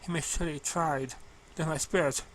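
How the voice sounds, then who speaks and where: A man voicing a lonely, gentle female pony in a cartoon fan story, speaking softly and earnestly. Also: a little high, fairly even intonation, brisk, a little hiss or hum, close to an everyday voice, poor audio. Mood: grateful, gentle.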